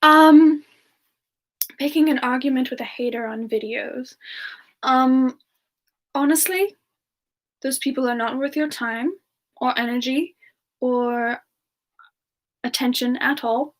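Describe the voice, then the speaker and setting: Casting: gentle female frost demon played by a woman, high soft voice, slow and hesitant, affectionate, semi-ASMR livestream chat. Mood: calm, reassuring. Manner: soft-spoken, hesitant, drifting into whispers. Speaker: ASMR character performer answering viewer questions.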